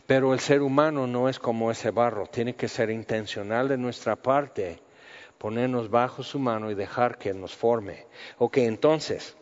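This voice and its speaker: Guy preaching, chatty, lots of questions, echoey hall, kinda muffled. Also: medium pitch. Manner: conversational, with rhetorical questions and voiced quotes. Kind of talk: sermon. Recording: muffled audio with long hall reverb.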